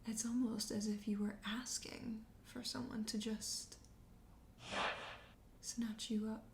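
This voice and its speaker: A woman voicing a teasing, flirtatious, self-assured vampire host in a soft, breathy ASMR whisper. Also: a little high, level tone. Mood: teasing, menacing. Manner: teasing, slow, coaxing. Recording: roomy echo, faint background noise.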